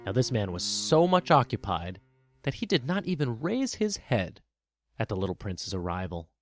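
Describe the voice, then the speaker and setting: A man's voice: lowish voice, sing-song, normal speed. A man reading scripted storybook narration as the narrator of a children's tale. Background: plucked guitar music.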